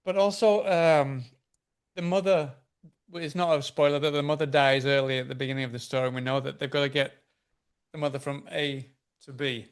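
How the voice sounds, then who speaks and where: A man's voice: a little high, steady tone, a little fast, smooth. A man hosting an interview, asking curious, rambling questions with frequent restarts. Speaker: interview host.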